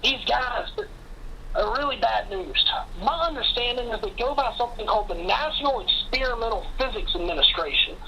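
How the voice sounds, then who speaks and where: A woman's voice: a little high, fairly quick, sharp tone, slight background noise, low-quality recording. A woman playing a brash, paranoid conspiracy theorist in an audio drama, blunt and urgent, through a telephone filter. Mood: insistent. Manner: urgent, blunt, salty-tongued.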